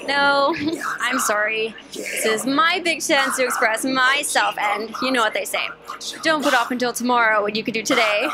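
A perky, high-pitched female self-destruct program, acted by a young woman. It is blithe, needy and sure of itself, in a comic stage drama with music playing. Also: normal speed, close to an everyday voice, rough recording. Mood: needy, defiant. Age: young adult. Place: theatre stage before an audience. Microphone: stand microphone.

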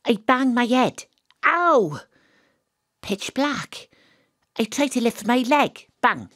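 An actress playing a troubled, weary woman private detective with a dramatic, halting delivery in an audio drama. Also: a little high, very animated, a bit bright, no echo, clean studio sound, heavily acted. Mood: tense, confused.

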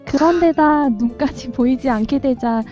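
A woman's voice: sits high, moderate pace, warm tone. A woman reading a visual novel heroine's dialogue aloud on a game stream. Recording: dull lo-fi voice chat with music.

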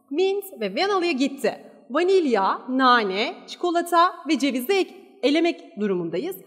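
A woman's voice: high-pitched, fairly bright tone, long reverb tail. A woman teacher with a Turkish accent walking through exam questions on slides, reading English sentences aloud and translating each into Turkish. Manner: reads English lines then translates them into Turkish. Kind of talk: exam-prep lesson over slides. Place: voice-over on slides.

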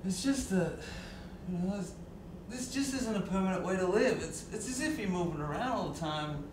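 A man's voice: sits high, lively intonation, normal speed. A man playing an older, friendly but nosy traveler who talks in a chatty, fatherly way and hesitates. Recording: distant, echoey, noisy.

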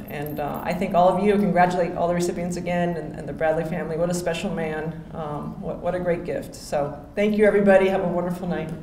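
A woman in her forties stands at a podium microphone and delivers an acceptance speech. She talks in a chatty way, with fillers and restarts. Her slightly low voice carries through a reverberant hotel ballroom over a faint hum. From Miami, Florida. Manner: chatty, warm thank-yous with many fillers and restarts. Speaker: hall of fame inductee.

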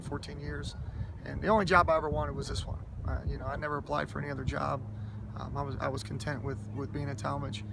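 A gravelly man answers interview questions outdoors, steady in tone and rather flat in melody. He sounds earnest and humble, starting over and padding his answers with fillers, while traffic hums behind him. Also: on the high side. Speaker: high school football head coach. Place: outdoors by a school stadium. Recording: outdoor noise and passing traffic.

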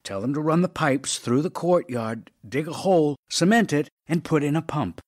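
A man's voice: fairly high pitch, lively intonation, normal speed. An actor playing an ailing, tender, wry writer reading his love letters aloud in an audio drama, in a theatrical, intimate manner. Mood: brisk, eager. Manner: theatrical, wry, intimate, shifting from emphatic to hushed.

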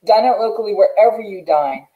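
A woman's voice: medium pitch, animated, brisk, warm tone. A middle-aged American woman hosting an interview over a video call in an earnest, emphatic way. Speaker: show host. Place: indoors, plain room.

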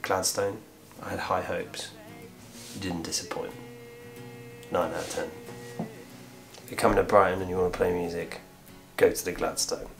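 A man's voice: low-pitched, huge pitch swings, normal speed, vocal fry, fairly bright tone, soft background music. A man in his twenties talking casually to camera as a vlogger, in a small room. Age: 20s. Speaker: vlogger and musician. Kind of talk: casual vlog talk.

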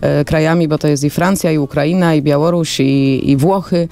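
Gravelly-voiced woman interviewer, formal. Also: low-pitched, fairly even intonation, normal speed, dark tone. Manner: polite, formal questioning. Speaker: radio interviewer. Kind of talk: formal radio interview questions. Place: small radio studio. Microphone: studio boom microphone.

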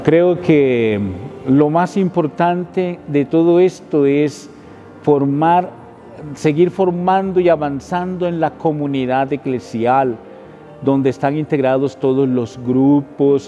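An older man with a Colombian Spanish accent, a parish priest and friar, speaking in a measured, solemn way inside a church. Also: mid-range voice, dark tone, dull audio. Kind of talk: welcoming address to camera. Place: inside a church, before the altar. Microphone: close camera interview framing.

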